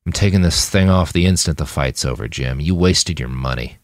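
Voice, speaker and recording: A man's voice: a bass voice, a little fast, no echo, studio quality. A man voicing a reluctant, indignant male veteran soldier in an audiobook, with a blunt, challenging manner. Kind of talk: audiobook character voice.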